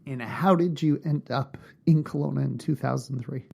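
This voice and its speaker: A man's voice: mid-range voice, moderate pace, vocal fry, darkish tone. A man hosting an interview in his office, asking friendly questions. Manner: friendly questioning, short backchannels. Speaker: host. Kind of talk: casual interview.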